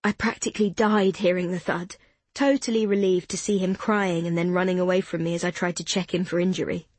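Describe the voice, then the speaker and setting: Woman doing a bubbly young mum in an audiobook, chatty and a bit breathy. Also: fairly low pitch, fairly even intonation, darkish tone, no echo, lightly acted. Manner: bubbly, quick, self-deprecating. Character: chatty cheerful young mother, excitable.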